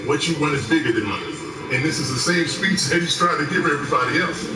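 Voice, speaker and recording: A man co-hosting a casual talk stream, telling a story with lively quoted dialogue. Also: fairly high pitch, quick pace. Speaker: co-host. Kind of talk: casual podcast chat. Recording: echoey, distant mic with music behind.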